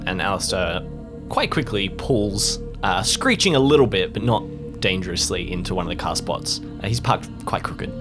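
A man playing a tabletop role-playing game, casually and haltingly describing what his character does. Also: sits low, expressive, over music. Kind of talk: improvised game narration.

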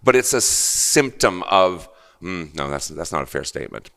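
A very deep-voiced Canadian man talks bluntly with real defiance. His pitch swings up and down a great deal as he presses his points. He speaks with conviction and leans hard on key words. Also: sing-song, normal speed, fairly bright tone. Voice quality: low voice. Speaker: guest. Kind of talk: candid conversation.

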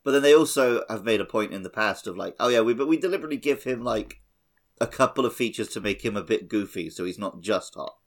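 Low-pitched man chatting casually. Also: lively intonation, fast talker. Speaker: podcast co-host. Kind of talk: casual gaming podcast chat.

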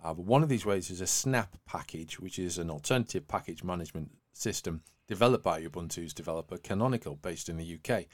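A man's voice: low voice, fast talker, hardly any pauses, no room sound. A man hosting a tech news podcast, presenting items in a semi-scripted way with wry, flippant asides.

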